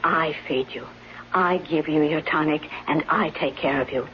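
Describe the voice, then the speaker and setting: A slightly noisy, dull old radio broadcast carries a woman playing a nurse companion in a 1970s drama. Her voice is on the low side, brisk, protective and firmly reassuring, with no patience for foolish fears. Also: natural voice. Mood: firm reassurance. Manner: no-nonsense, dismissive of fears. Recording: dull vintage radio broadcast, faint noise.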